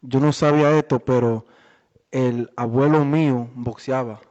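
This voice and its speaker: A young man being interviewed about his boxing life, talking in a relaxed, halting way with many fillers. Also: medium pitch. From Worcester, Massachusetts area. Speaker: boxer, interview guest. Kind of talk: casual interview chat. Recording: dull lo-fi audio in a reverberant room.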